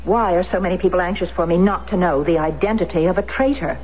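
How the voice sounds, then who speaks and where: A woman's voice: lowish voice, quick pace, darkish tone, close to an everyday voice. A woman playing a determined grieving widow. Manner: firm, pressing, earnest. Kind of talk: 1970s radio drama acting. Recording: muffled lo-fi radio broadcast with faint hiss.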